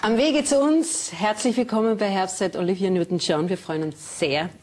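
A woman's voice: mid-range voice, normal speed, darkish tone, a little hiss or hum. A woman hosting a daytime TV talk show, asking polite questions with some hesitant fillers. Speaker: TV host. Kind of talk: TV interview. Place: TV studio.

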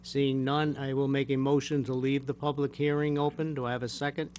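Measured older man chairing a meeting. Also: mid-range voice, flat delivery, a little mellow. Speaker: zoning board chair. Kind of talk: formal public meeting questioning and procedure. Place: municipal meeting room. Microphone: gooseneck desk microphone. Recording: faint background hum.

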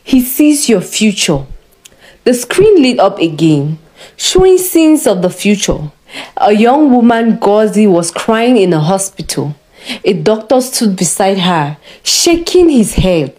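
A woman narrating a scripted story in a measured, dramatic way. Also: medium pitch, very animated, a little mellow, poor audio.

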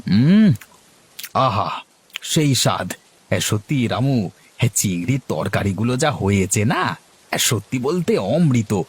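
A man voicing a jolly, food-loving middle-aged customer in a cartoon who exclaims loudly and savours every bite. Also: lowish voice, sing-song, takes it slowly, long pauses, a little hiss or hum. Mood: delighted.

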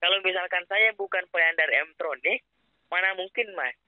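A man making a persuasive pitch over the phone, speaking insistently with some hesitation. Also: a little fast, no echo. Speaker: phone caller claiming to be a prize official. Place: on a phone call. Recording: narrow, dull phone line.

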